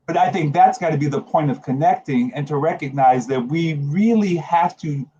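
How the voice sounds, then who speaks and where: A man in his late forties talks in a reflective, emphatic way, as a guest in an earnest discussion. His voice is warm and relaxed with a husky edge. The recording is a little dull and lo-fi. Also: mid-range voice. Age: late 40s. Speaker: guest, HIV organization leader. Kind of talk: earnest conversational panel discussion.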